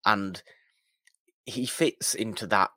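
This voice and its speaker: A man co-hosting a film podcast, chatting casually and haltingly, repeating and rephrasing his points for emphasis. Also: on the low side, pushed and bright, no room sound.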